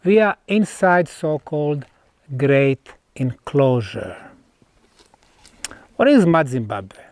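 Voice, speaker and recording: A man with a Bosnian accent presenting an on-site documentary in deliberate, emphatic phrases broken by pauses. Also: fairly high pitch, a little mellow, no echo. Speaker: presenter, researcher. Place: outdoors at stone ruins.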